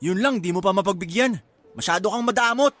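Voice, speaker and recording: A man's voice: high-pitched, brisk, a bit bright, faint music behind. A man playing a sulky, amorous husband in a Filipino radio drama, complaining petulantly. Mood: sulky, defensive. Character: sulky, amorous working-class husband, easily brushed off.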